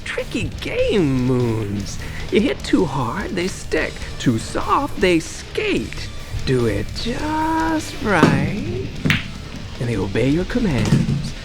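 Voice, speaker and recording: A woman's voice: fairly low pitch, wildly swooping pitch, extremely slow, heavily acted. An actress playing a menacing pool-hall crime boss in her thirties in a radio drama, sly and taunting as she speaks. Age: 30s. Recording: music behind the dialogue.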